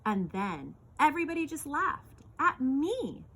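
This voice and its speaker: A woman reading a children's picture book aloud in an expressive, theatrical storytelling manner. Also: sits high, unhurried, a little hiss or hum. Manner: animated, theatrical storytelling with exclamations.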